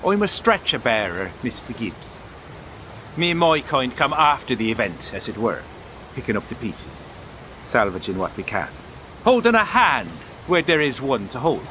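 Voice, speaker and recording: Bright-voiced, sardonic Irishman. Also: mid-range voice, very animated, moderate pace, a little hiss or hum. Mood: sober, wry. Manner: sardonic, pointed. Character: wry, proud, bitter Irish writer and wartime stretcher bearer. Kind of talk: audio drama acting.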